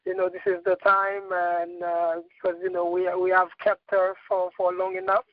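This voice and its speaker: A man from Haiti, hosting a casual spiritual chat and speaking haltingly, with many fillers and repeated words. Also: high-pitched, dry recording, phone-quality sound. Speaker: host.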